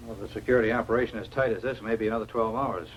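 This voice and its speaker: An actor playing a grave, authoritative doctor and scientist in a 1950s science fiction film. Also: male voice, lowish voice, fairly even intonation, very fast, slight background noise, close to an everyday voice, rough recording. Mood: explanatory. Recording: old film soundtrack with music underneath.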